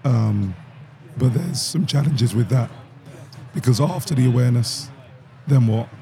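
A man with a husky voice today, a guest founder speaking thoughtfully in a podcast interview, pausing with fillers. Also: medium pitch, dark tone. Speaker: guest, founder of a talent platform.

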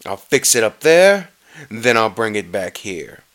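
A man's voice: fairly low pitch, very animated, measured pace, fairly bright tone. A man voicing a sly, gleeful storybook monster who lies and steals. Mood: falsely sweet.

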